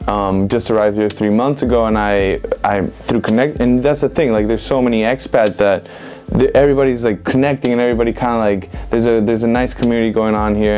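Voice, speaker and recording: A young man in his twenties from Venezuela, giving relaxed, rambling interview answers full of "like" and "um". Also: lowish voice, smooth. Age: 20s. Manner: relaxed, rambling with many fillers like "like" and "um". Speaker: interviewed street photographer. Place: indoor room with bookshelves.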